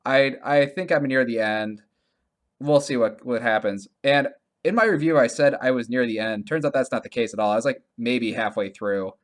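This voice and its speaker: A man co-hosting a podcast, talking casually about video games in a halting way, often restarting his sentences and leaning on filler words. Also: mid-range voice. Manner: halting, self-correcting, heavy use of "like". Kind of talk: casual conversational game review chat.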